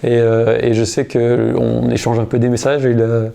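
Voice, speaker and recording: A man in his thirties, a guitarist and singer, telling a story in a casual interview. He uses a lot of slang and often starts over or pauses with fillers. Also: medium pitch, gravelly. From United States. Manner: relaxed, slangy, many fillers and restarts.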